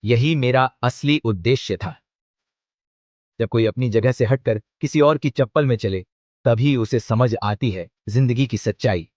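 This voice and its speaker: A man's voice: medium pitch, darkish tone, dry recording, lo-fi sound, close to an everyday voice. Man voicing a wise old owl sage, dry sound. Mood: calm, wise. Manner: measured, instructive. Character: wise old male owl sage who teaches with magic. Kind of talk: cartoon story character voice.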